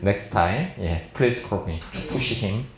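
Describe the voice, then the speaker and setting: Casting: male seminar lecturer, forties, native Korean with accented, halting English, jovial manner, fairly low pitch, recorded in an echoey room. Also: expressive. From South Korea. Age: 40s. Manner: halting, jovial non-fluent English with frequent chuckles. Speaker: plastic surgeon lecturing at a medical seminar. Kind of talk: informal seminar presentation to an audience.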